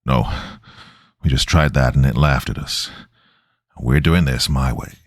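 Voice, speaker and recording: A male narrator acts out a stern man leading an exorcism in a horror tale. His voice is very deep and solemn, commanding and prayerful, recorded dry and close. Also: measured pace, no room sound.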